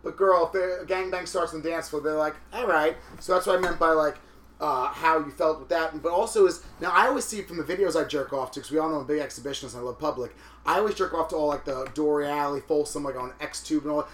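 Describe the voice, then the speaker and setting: Man, raspy, flamboyant, casual podcast chat, echoey room. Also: on the high side, fast. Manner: flamboyant, animated storytelling.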